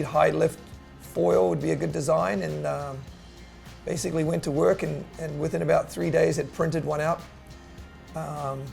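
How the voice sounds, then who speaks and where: A middle-aged man with a New Zealand accent, a company co-founder talking casually about his work and often pausing with "uh". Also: on the high side, creaky. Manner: relaxed, with frequent "uh" pauses. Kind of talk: casual promotional chat.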